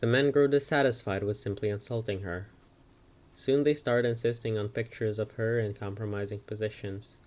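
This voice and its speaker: A woman giving a dramatic scripted narration of a comic, with literary, deliberate delivery. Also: rumbling low, steady tone, a little mellow.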